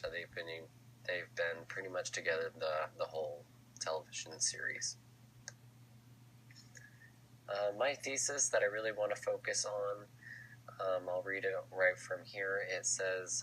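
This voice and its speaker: Young male student, deep voice, bright nasal tone from a cold, hesitant presenter, faint hum behind. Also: rough recording. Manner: hesitant, many ums and restarts. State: stuffy nose from a cold. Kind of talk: class research presentation.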